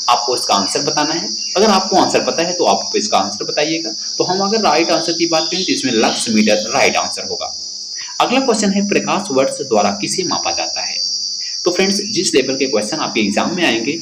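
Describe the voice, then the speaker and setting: Man, exam-prep instructor, highish animated voice, quiz narration, repeats key points, roomy echo. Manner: addresses listeners directly, repeats questions and answers. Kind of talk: quiz lesson narration for students. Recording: echoey room, loud insect-like background noise.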